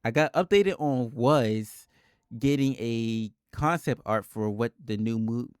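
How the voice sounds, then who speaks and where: A man's voice: medium pitch, slow, clear, resonant tone, no echo, clean studio sound. A man giving casual reaction commentary, reading text aloud and breaking in with slangy, informal exclamations. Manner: slangy, informal, exclaims between read lines. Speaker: host of a horror reaction video.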